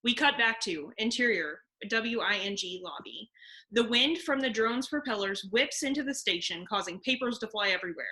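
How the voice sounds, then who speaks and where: A woman's voice: a little high, lively intonation, moderate pace, pushed and bright, poor audio. A woman reading stage directions as the narrator of a scripted table read.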